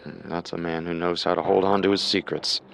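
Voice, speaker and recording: A man co-hosting a scripted true-crime programme, narrating in a measured, dramatic way with music behind him. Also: low voice, little pitch movement, creaky, a bit bright. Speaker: co-host narrator.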